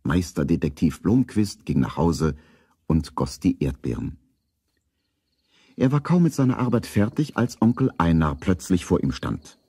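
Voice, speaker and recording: Deep, mellow storybook narrator. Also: male voice, big pitch swings, unhurried, husky, no echo, clean studio sound, exaggerated voice. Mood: measured storytelling. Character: narrator of a children's detective story. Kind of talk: scripted storybook narration, 1970s German radio play.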